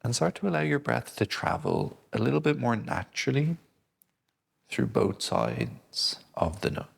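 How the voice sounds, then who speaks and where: A man gently guiding a breathing exercise, speaking slowly and soothingly. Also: medium pitch, wildly swooping pitch, creaky, slightly rough. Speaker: breathing instructor. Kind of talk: guided breathing lesson.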